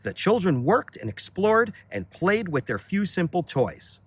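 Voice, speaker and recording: A man reading a children's story aloud outdoors in an expressive, dramatic way, with deliberate phrasing and emphatic pauses. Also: high voice, slightly rough, dry recording. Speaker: children's read-aloud reader, teacher and entertainer. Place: outdoors on a beach.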